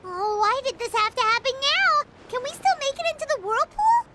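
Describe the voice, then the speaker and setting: A woman voicing a tiny childlike floating game companion, chirpy and brave, with a squeaky voice and exclamatory delivery. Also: very animated, moderate pace, pushed and bright, faint music behind, exaggerated voice. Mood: alarmed. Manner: exclamatory, speaks of self in third person. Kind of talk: video game voice acting.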